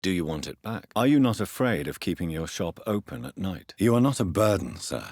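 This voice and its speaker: An actor playing a grave, courteous British gentleman doctor turned vampire in a video game, speaking formally and with intensity in a husky voice. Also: low-pitched, very animated, dry recording, clean studio sound, heavily acted. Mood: courteous, measured. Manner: formal, measured, articulate, intense. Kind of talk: video game voice acting, scripted dramatic dialogue.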